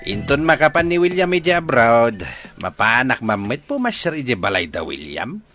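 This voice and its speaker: A man's voice: mid-range voice, big pitch swings, normal speed, fairly bright tone, soft background music. An actor playing a chatty, teasing village father with a Filipino accent in a radio comedy-drama. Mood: wistful, amused.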